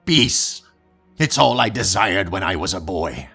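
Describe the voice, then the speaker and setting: A man playing a self-righteous religious fanatic villain in a comic dub, raspy and pompous in his preaching. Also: low voice, lively intonation, bright, forceful tone, faint music behind, heavily acted. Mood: fervent preaching. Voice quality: gravelly, raspy. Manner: pompous, sermonizing, deliberate. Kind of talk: comic book dub acting.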